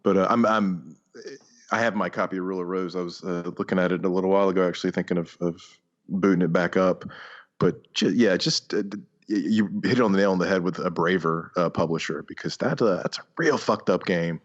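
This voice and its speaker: Deep-voiced man, halting casual podcaster. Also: lo-fi sound. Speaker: podcast co-host. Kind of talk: casual chat about video games.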